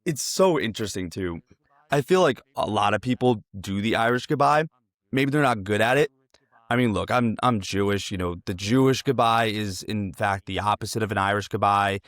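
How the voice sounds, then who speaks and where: A man's voice: fairly low pitch, animated, normal speed, fairly bright tone, dry recording. A man hosting a casual interview, talking in a wordy, affirming, conversational way. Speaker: interviewer, podcast host.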